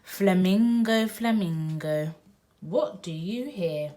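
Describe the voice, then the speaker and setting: A woman reading a picture book aloud to small children in an expressive, sing-song, drawn-out way. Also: on the low side. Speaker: children's read-aloud host.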